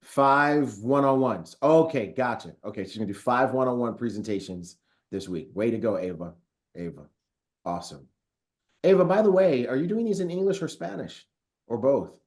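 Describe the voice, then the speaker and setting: A man's voice: medium pitch, lively intonation, normal speed. A man hosting a live online training call, upbeat and chatty, reading viewer comments aloud with frequent fillers. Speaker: host of a company training call. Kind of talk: casual live webinar hosting.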